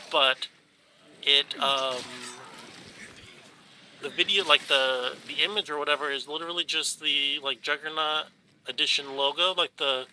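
A man narrates his gameplay in a loose, rambling way, with a stuffy, runny nose. His voice sits a little high and comes across very bright and sharp. A faint hiss runs under the recording. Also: rough recording. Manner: rambling, many ums and restarts. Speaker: gaming YouTuber. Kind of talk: casual let's play commentary.

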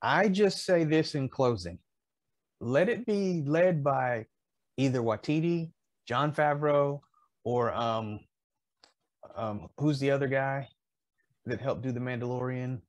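A man in his fifties with a mid-pitched voice, chatting casually on a podcast. He pauses often while working through his thoughts. The sound is dry, with hardly any room on it. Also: dry recording. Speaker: podcast co-host. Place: indoors in a home collectibles room.

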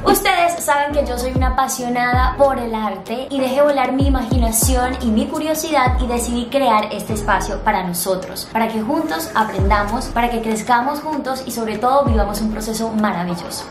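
A young woman hosting her own video, chatty and bubbly, quick to laugh. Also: fairly high pitch, roomy sound, over music. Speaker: vlogger and host. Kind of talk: casual chat. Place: small room with art and keyboard, then an artist's studio.

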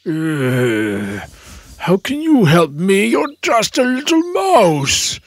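A woman's voice: lowish voice, huge pitch swings, very slow, no echo, clean studio sound, full character voice. A woman voicing a proud, grumpy male lion who rules the forest in a cartoon, talking down to others. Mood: irritated. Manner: haughty, dismissive. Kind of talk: cartoon voice acting.

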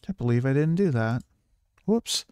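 A man's voice: medium pitch, animated, normal speed, darkish tone, smooth, no room sound, clean studio sound. A man chatting casually to viewers as a game streamer, rambling along and swearing now and then. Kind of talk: casual livestream chat to viewers.

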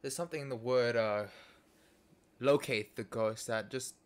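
A man's voice: medium pitch, normal speed, smooth. A young man chatting casually with a friend on a voice call while loading a game, joking and musing in a mock-dramatic way. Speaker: gaming video creator. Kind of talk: casual chat over voice call.

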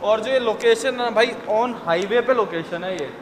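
A man's voice: high voice, normal speed. A man, co-hosting a vlog in casual, matter-of-fact colloquial talk. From India. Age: 20s. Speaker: co-host of a haunted-location exploration vlog. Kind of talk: casual vlog chat, adding to the explanation. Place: large derelict factory and overgrown grounds at night. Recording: echoey derelict building with clear background noise.

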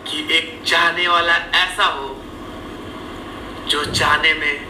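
A man reciting romantic verse in a measured, dramatic way. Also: a little high, level tone. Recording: noisy, echoey phone video playback.

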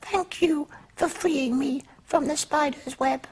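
Man putting on a tiny squeaky fairy. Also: slow, heavily acted. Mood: grateful, kindly. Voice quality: squeaky, small voice. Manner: chiming, earnest.

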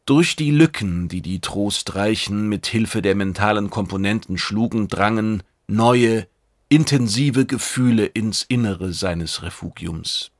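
A man's voice: lowish voice, measured pace, no echo, clean studio sound. A man reading a science fiction audiobook as a dramatic scripted narration.

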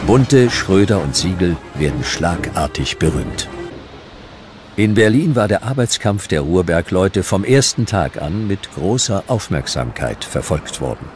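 A man's voice: low voice, very animated, a little slow, background noise. A man narrating a historical documentary from a script. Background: music.

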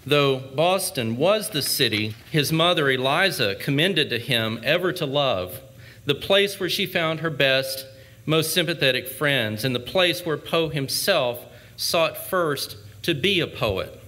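A man delivering a formal ceremonial speech with measured, oratorical phrasing. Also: a little high, expressive, pushed and bright. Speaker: speaker at a commemoration.

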